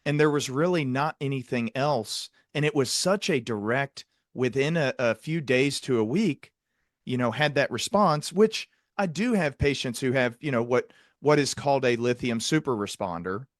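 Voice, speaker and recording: A man's voice: medium pitch, expressive, normal speed, no room sound, clean studio sound. A man hosting a conversational clinical discussion, speaking earnestly and repeating words for emphasis. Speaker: podcast host, psychiatrist.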